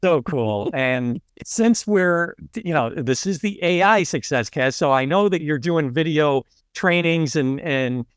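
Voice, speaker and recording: A man's voice: fairly high pitch, lively intonation, measured pace, few pauses, clean tone, dry recording, clean studio sound. A man hosting a podcast, reading a polished intro and then chatting loosely with a guest, with plenty of fillers and restarts. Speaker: podcast host. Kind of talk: scripted intro then casual interview.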